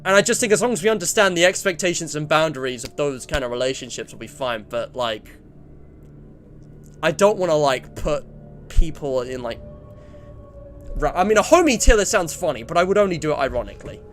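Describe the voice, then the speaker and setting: English male streamer, around twenty, slightly high-pitched, casual and discursive, faint music underneath. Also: animated, fairly quick. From England. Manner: rambling, self-interrupting. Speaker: livestreamer. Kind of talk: casual livestream chat, reading out survey and viewer messages.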